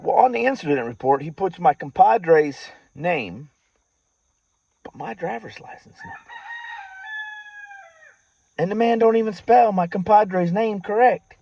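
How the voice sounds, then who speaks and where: Man, Southern US accent, highish pitch, very animated delivery, folksy sardonic storyteller, dull lo-fi recording. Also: creaky. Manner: folksy, sardonic, drawn-out emphasis with rustic slang. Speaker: vlogger telling personal stories. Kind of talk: informal storytelling monologue to camera. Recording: dull lo-fi audio.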